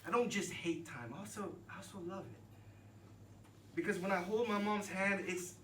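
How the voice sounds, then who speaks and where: A man's voice: high-pitched, measured pace, fairly bright tone. A man in his thirties from Texas with an American accent, telling a joking yet reflective personal story live on stage. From Texas, United States. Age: 30s. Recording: echoey room, distant mic, noisy ambience.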